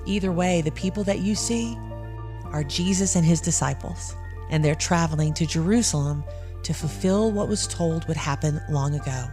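A woman narrating a scripted audio drama in a measured, evocative storytelling way. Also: sits low, warm tone. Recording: clear music bed underneath.

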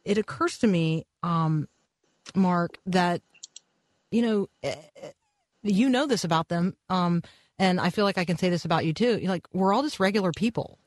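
A radio show host interviewing a guest, speaking in an unhurried way with many ums. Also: medium pitch, no echo. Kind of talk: interview hosting.

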